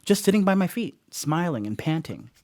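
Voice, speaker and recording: An actor playing a rattled, rambling stoner dog sitter in a radio play monologue, telling a story conversationally with wry asides. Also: male voice, fairly high pitch, big pitch swings, moderate pace, a little mellow. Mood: flustered, animated.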